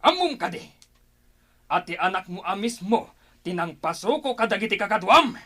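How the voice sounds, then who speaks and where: An actor with a Filipino accent playing a commanding, righteous warrior chief of a tribe in a radio drama, with a husky voice. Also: male voice, high voice, lively intonation, normal speed, bright, forceful tone, no room sound, full character voice. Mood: fierce, taunting.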